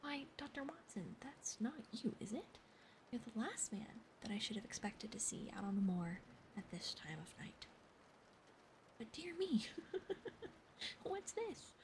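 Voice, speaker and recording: A woman voicing a suave, composed male naturalist in a soft whisper while reading a story aloud. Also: a little high, sing-song, a little slow, long pauses, poor audio. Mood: polite, composed.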